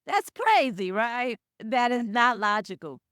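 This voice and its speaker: A middle-aged woman with a Southern accent speaks in a smooth, clear voice, measured and emphatic as she answers an interviewer. The sound is dry and close, with no room around it. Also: on the high side, sing-song, a bit bright, dry recording. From Chicago with Southern family roots. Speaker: guest, DEI director.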